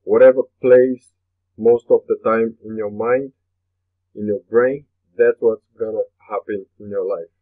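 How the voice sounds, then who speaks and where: A man's voice: on the low side, fairly even intonation, measured pace. A man from Brazil with a Brazilian Portuguese accent, a native Portuguese speaker, hosting a motivational webinar in an earnest manner and often repeating his phrases. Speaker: webinar host. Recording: tinny phone-like webinar audio.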